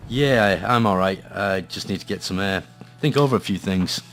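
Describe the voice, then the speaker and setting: Low-voiced actor as a brooding noir detective man. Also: moderate pace, a bit bright, faint music behind. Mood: puzzled, uneasy. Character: gloomy hard-boiled male noir detective. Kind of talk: noir radio play acting, narration and dialogue.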